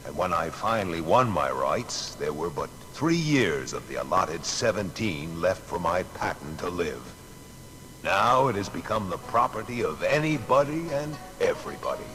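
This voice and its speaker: Deep, rueful old-time inventor. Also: male voice, sing-song, measured pace, a bit bright, soft background music, heavily acted, low-quality recording. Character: weary, embattled American male inventor looking back. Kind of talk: dramatised first-person reminiscence.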